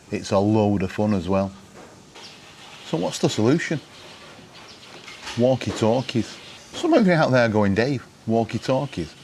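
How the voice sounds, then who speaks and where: A man's voice: mid-range voice, darkish tone, a little hiss or hum. A middle-aged British man presenting to camera in an informal, wry, chatty way, often putting questions to the viewer. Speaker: vlogger presenting gadget advice.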